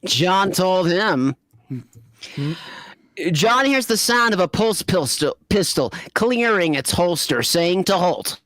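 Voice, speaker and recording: A narrator reads a story aloud at a slow pace with animated pitch and casual asides. The recording is dry and close. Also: dry recording. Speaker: narrator of fan fiction readings.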